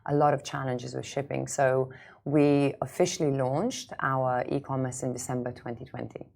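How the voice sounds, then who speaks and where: A woman's voice: a bass voice, flat delivery, moderate pace, gravelly, darkish tone. A woman from Lithuania, a startup founder, talking as a guest in a studio interview.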